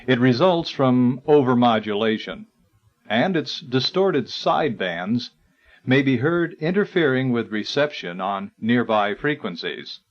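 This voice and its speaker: Lo-fi, slow-paced male narrator. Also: mid-range voice, animated. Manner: formal, measured, articulate delivery. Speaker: narrator of an instructional audio guide. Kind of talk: scripted educational narration. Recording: dull, lo-fi 1980s tape recording.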